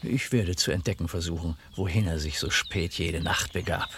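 A man's voice: low-pitched, normal speed, noisy background, lightly acted. An actor playing a courteous, probing male French police commissioner in a radio crime drama, with polite, hesitant, methodical questioning. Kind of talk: 1970s German radio drama acting.

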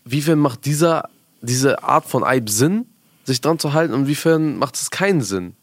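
A young man talks in a casual, conversational way with plenty of youth slang. His voice sits at a middle pitch, and he keeps checking that his listener follows. The sound is dry, with no room echo. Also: expressive, moderate pace. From Afghanistan. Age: young adult. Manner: informal youth slang, frequent check-in questions.

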